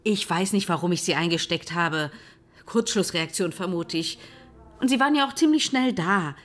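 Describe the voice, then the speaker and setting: A woman's voice: on the low side, expressive, normal speed, close to an everyday voice. Woman playing a proud, controlled murder suspect. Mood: controlled, indignant. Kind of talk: radio drama acting.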